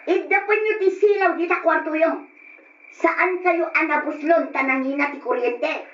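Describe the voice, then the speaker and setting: High-pitched bossy aunt. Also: female voice, normal speed. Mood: bossy, scolding. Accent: Ilocano. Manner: sharp, commanding. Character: bossy, stingy aunt scolding her young wards. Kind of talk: Ilocano old-time radio drama acting. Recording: dull lo-fi old radio drama recording.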